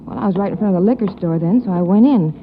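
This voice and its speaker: An actress playing a shaken young woman recalling a crime she witnessed in a 1940s radio drama, halting and stammering. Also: mid-range voice, slight background noise, natural voice. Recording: thin phone-like 1940s radio.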